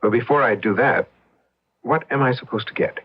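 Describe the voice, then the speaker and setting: A man's voice: mid-range voice, normal speed, husky, natural voice. An actor in an old radio drama playing an ambitious, impatient, slick husband turned rich businessman. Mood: wary, bargaining. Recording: dull lo-fi radio drama recording.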